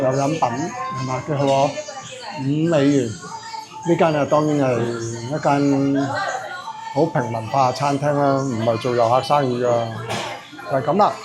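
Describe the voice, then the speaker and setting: Easygoing male travel vlogger, native Cantonese speaker, mid-pitched voice, chatty with filler pauses. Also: fairly even intonation, music in the background, low-quality recording. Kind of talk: casual travel narration.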